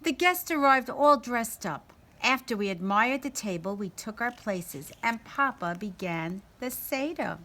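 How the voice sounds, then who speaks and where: A woman reading a children's story aloud in an expressive manner, acting out the dialogue. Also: a little high, unhurried, hardly any pauses. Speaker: story reader.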